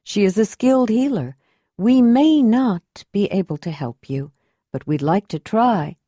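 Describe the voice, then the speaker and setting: A woman voicing a large, commanding spiritual leader who speaks in a measured, formal way. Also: mid-range voice, expressive, mellow, dry recording, clean studio sound. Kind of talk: audiobook character voice.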